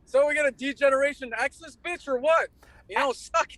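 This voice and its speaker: A man's voice: very high-pitched, brisk, fairly bright tone, no room sound. An American man, a band's lead singer, chatting casually and in slang as an interview guest. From United States.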